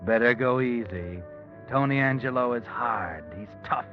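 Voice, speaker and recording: A man's voice: mid-range voice, natural voice. Man acting as an ominous, insinuating mystery narrator over music. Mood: ominous, taunting. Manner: sardonic, insinuating, deliberate. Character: ominous, knowing male narrator addressing the villain. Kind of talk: 1940s radio mystery narration. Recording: muffled vintage radio recording.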